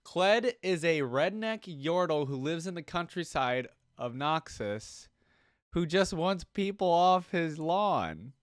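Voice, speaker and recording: Animated man reacting casually. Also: a little high, slow, clear, resonant tone, dry recording, studio quality. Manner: amused, repeats lines he likes. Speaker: reaction video host.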